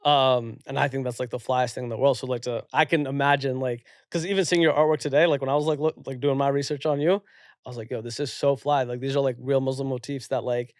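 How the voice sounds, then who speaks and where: Excitable slangy man hosting a podcast. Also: medium pitch, fast, clean tone, dry recording, studio quality. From Bangladesh. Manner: excited, slangy, heavy "like" and "yo" fillers. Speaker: podcast host. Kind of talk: casual podcast conversation.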